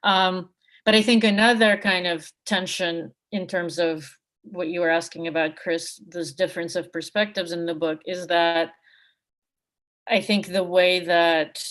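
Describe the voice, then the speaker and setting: A woman from Russia speaking unscripted in an academic discussion, reflective and halting, with many hedging asides. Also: fairly low pitch, steady tone, a bit bright. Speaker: book co-editor and panel speaker.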